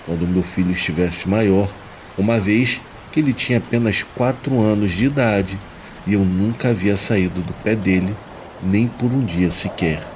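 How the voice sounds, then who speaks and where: A Brazilian man narrates a supernatural tale in the first person, reading from a script. His voice sits on the low side, and a soft bed of rain runs behind it. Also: normal speed, rough recording. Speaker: narrator of listener-submitted horror stories. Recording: steady rain noise behind the voice. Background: rain ambience.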